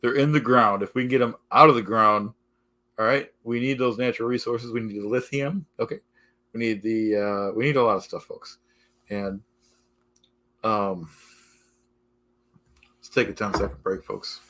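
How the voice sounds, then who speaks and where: A man hosting a casual live stream, halting and repetitive, losing his voice, forever addressing "folks". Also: on the low side, bright, forceful tone. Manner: repetitive, keeps saying "folks" and "okay"; halting, restarts often.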